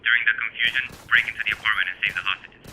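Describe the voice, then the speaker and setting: A man's voice: medium pitch, steady tone, very fast, faint music behind, rough recording. A man acting a stern, brooding masked vigilante mentor in an audio drama, terse and commanding. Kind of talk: superhero fan audio drama. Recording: loud background noise with clicks.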